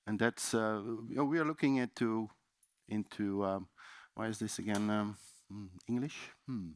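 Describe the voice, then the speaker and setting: A man's voice: fairly low pitch, normal speed. Dutch-accented man giving a seminar talk. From Netherlands. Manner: loose and chatty, many fillers and restarts. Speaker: conference speaker. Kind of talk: seminar presentation. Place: conference room.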